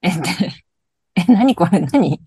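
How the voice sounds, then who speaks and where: A woman's voice: on the low side, sing-song, slow, warm tone, husky, no echo. A woman acting the lead in a comedic radio drama, answering in flustered, hesitant bits, and also narrating.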